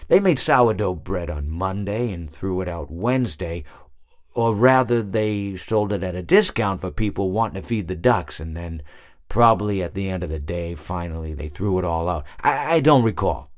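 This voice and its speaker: A man's voice: low-pitched, dry recording, studio quality. A man voicing a genteel, chatty elderly hippopotamus in a video game, rambling wistfully with hesitant stammers and digressions. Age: older. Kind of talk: storytelling monologue for a video game.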